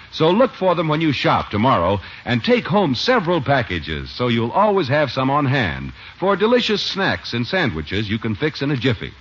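Lively American old-time radio announcer, male. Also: fairly high pitch, husky. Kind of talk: 1950s radio commercial and show narration. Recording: old muffled radio broadcast recording. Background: faint music.

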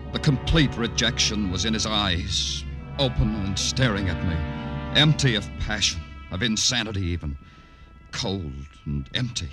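Hard-boiled radio detective, male actor: very low voice, terse and world-weary, noir delivery, prominent music behind. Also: close to an everyday voice. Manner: terse, deliberate, brooding. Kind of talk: 1950s radio noir acting and narration.

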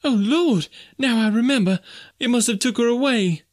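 Hysterical maidservant, very high pitch, wailing, folksy dialect, audiobook character. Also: male voice, slow pace, heavily acted. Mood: frightened lamenting.

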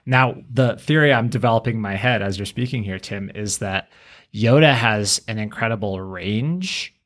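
A man with a fairly low voice co-hosts a fan podcast. He theorizes in a casual, conversational way, dropping in quoted lines as he explains. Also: moderate pace, fairly bright tone. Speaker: podcast co-host.

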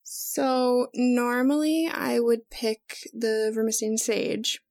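A woman's voice: fairly high pitch, slow pace, darkish tone. A woman chatting casually as a podcast co-host. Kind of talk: casual chat.

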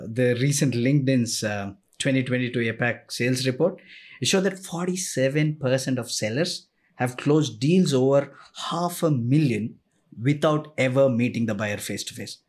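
A middle-aged man co-hosting an interview, explaining points in a measured, conversational way. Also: mid-range voice. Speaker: co-host, sales leader.

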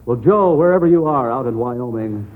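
A man's voice: medium pitch, lively intonation, normal speed, narrow, tinny audio. A man hosting a live 1940s radio quiz show as a substitute, joking jovially as he addresses children.

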